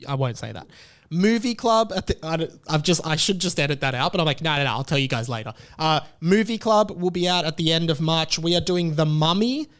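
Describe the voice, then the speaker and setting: A man's voice: fairly high pitch, animated, brisk, a bit bright, clean tone. A man hosting a podcast, chatting casually and rambling with lots of fillers and restarts. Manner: rambling, self-interrupting, many fillers. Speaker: podcast host.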